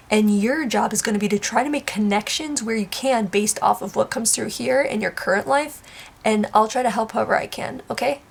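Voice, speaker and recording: A congested woman giving a casual past life card reading, rambling and searching for words, with soft whispered asides. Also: medium pitch, gravelly, husky. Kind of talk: casual spoken tarot-style reading.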